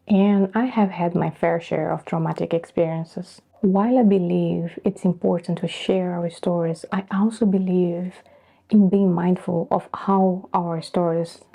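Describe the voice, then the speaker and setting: A middle-aged woman from Brazil whose first language is Portuguese, speaking thoughtfully to camera in a soft, breathy way with pauses. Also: fairly low pitch. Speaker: vlogger. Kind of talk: reflective personal talk to camera.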